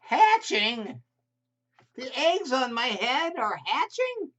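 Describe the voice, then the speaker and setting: A man's voice: very high-pitched, big pitch swings, takes it slowly, bright, forceful tone, voice pushed up, exaggerated voice. A man voicing an anxious, fretful grown-up male elephant in a storybook read-aloud, worried and pleading. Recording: slightly muffled home video audio.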